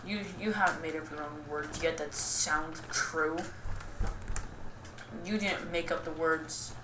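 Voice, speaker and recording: A young woman giving casual, rambling game commentary in a self-deprecating, goofy and halting way. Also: low-pitched, fairly even intonation. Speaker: gaming video host. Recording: roomy, distant mic with clear noise.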